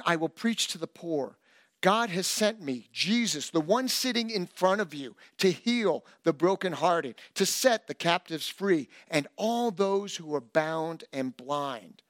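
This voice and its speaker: Animated high-voiced male pastor preaching. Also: dry recording, studio quality. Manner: animated and emphatic, with self-deprecating humor. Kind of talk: sermon.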